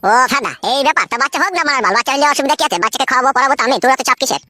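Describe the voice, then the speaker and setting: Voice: sits high, animated, very fast, pushed and bright. A performer voicing a whiny, pleading, crude village husband in a comic cartoon. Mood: wheedling, impatient. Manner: nagging, wheedling, coarse-mouthed. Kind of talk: exaggerated comic cartoon dialogue.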